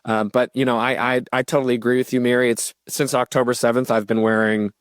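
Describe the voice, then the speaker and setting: A man's voice: medium pitch, little pitch movement, fast, no echo. Reflective man, dry close sound. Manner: reflective, thinking aloud with fillers. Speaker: podcast host. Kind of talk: conversational interview.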